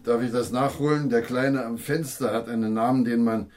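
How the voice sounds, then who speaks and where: A male actor plays a police officer in a radio crime play. He talks smoothly and with exaggerated courtesy. His male voice sits at a medium pitch. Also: fairly even intonation, normal speed, a little mellow, lightly acted. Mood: ingratiating. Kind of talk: radio crime drama acting.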